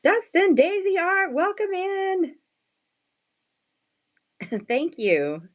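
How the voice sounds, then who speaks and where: Slightly hoarse woman, casual live-sale chat. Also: extremely high pitch, sing-song, extremely slow. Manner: self-deprecating, playful slangy wording; chatty, greets and thanks viewers often. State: just woken up, sleepy.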